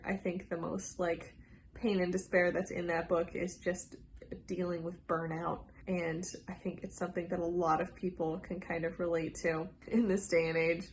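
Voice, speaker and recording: A woman's voice: lowish voice, slight background noise. A woman making a casual book vlog, chatty and enthusiastic, sounding a bit congested from a cold. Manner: chatty, enthusiastic, filler-heavy. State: congested, under the weather. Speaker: book vlogger. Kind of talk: casual book tag video.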